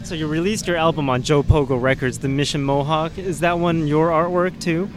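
A man hosting a punk radio show, interviewing a guest in a relaxed, chatty way and laughing often. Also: fairly high pitch, fairly quick, background noise.

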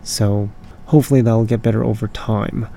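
Hoarse raspy man hosting a punk show. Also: on the low side, steady tone, moderate pace, dark tone, a little hiss or hum. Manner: loose and rambling, corrects himself mid-thought. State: hoarse, voice not fully recovered from screaming. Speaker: punk rock music show host. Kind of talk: casual radio-style song introductions.

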